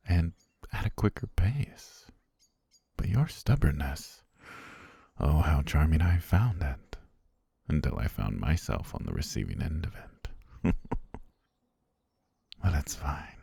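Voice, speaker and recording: Casting: male actor as obsessive genteel butler captor, extremely low gravelly voice, slow and softly menacing, for fantasy ASMR roleplay. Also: no echo, full character voice. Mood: quietly menacing. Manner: genteel, unhurried, softly menacing, often near whisper. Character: obsessive, sweetly menacing butler holding a princess captive.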